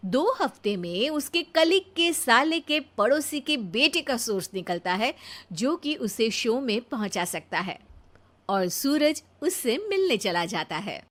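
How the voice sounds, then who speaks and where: A woman narrating a scripted comic story in a wry, expressive storyteller's manner. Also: fairly high pitch, unhurried.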